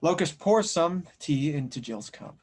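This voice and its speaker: A man's voice: fairly high pitch, animated, a little slow. A man reading scripted narration and stage directions as the narrator of an audio drama table read.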